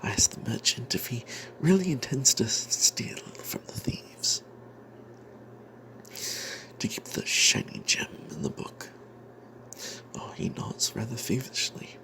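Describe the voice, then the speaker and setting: A man voicing a greedy, cowardly adventurer cleric, telling a fantasy tale in the first person in a breathy, secretive ASMR whisper with hesitant pauses. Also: mid-range voice, big pitch swings, bright, forceful tone, heavily acted, rough recording. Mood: sly, hushed. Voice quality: breathy whisper with creaky edges. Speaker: narrator of a tabletop fantasy tale.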